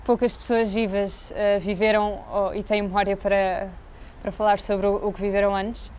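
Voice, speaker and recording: A woman's voice: mid-range voice, flat delivery, moderate pace, a little mellow, a little hiss or hum. A woman in her twenties with a European Portuguese accent who gives thoughtful interview answers and searches for her words. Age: 20s.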